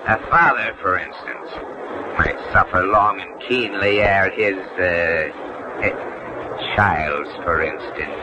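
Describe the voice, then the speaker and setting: Slow, deep, menacing cursed sea captain. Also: male voice. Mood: brooding menace. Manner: archaic, ominous, theatrical speech. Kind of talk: old-time radio horror acting. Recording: very noisy old radio drama recording.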